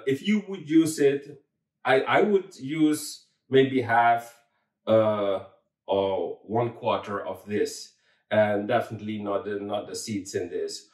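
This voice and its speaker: A man's voice: mid-range voice, a little mellow, echoey room, recorded from a distance. A man with a Dutch accent, Dutch his first language, hosting a home cooking demonstration in a kitchen, friendly and hesitant with many fillers and restarts. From Suriname. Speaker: cooking channel host.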